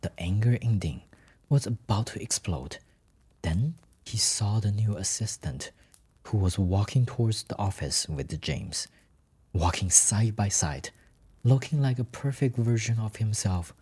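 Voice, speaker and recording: Husky male narrator of a melodrama. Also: fairly low pitch, measured pace, dark tone. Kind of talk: scripted melodramatic story narration.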